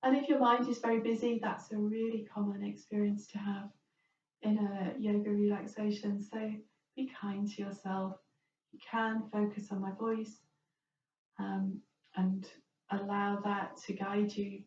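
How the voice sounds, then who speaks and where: A woman teaching yoga relaxation, guiding the listener in a gentle, reassuring and permissive way. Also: medium pitch, flat delivery, unhurried. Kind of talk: guided relaxation instruction. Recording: roomy, distant, dull, faint noise.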